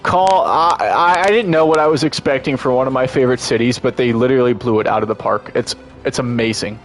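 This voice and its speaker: A man's voice: medium pitch, fairly quick, soft background music. A congested man giving casual, enthusiastic gameplay commentary as a streamer, rambling and restarting his sentences often.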